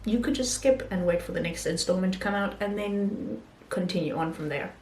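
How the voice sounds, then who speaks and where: A woman talking casually about films she has watched, pausing often with ums and restarting her sentences. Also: medium pitch, a little mellow, reverberant, a little hiss or hum. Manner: hesitant, many ums and restarts. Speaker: film vlogger. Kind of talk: casual film review talk.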